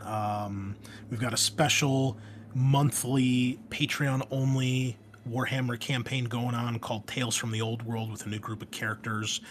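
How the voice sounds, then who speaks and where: A man's voice: mid-range voice, level tone, unhurried, a little hiss or hum. A man hosting a gaming podcast, making casual, enthusiastic announcements in an informal way. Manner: informal, enthusiastic, mild swearing. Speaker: podcast host and game master.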